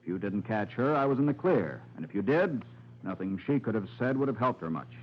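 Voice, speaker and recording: Scheming husband, low voice, cold, matter-of-fact, old radio crime play, muffled recording. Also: male voice, sing-song, a little fast, a little hiss or hum. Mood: callous, resigned. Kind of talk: 1940s radio drama acting. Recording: dull vintage radio recording.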